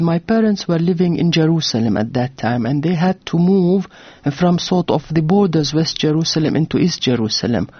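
A woman's voice: low voice, no echo, lo-fi sound. A woman from Jordan, an author telling her family story in a radio interview, earnest and full of fillers and restarts. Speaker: author on a book tour. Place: radio studio.

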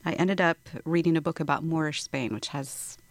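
A middle-aged woman author from Morocco, speaking thoughtfully in an interview and growing animated as she tells her story. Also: low-pitched, normal speed, dry recording. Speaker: author guest. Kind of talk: interview conversation.

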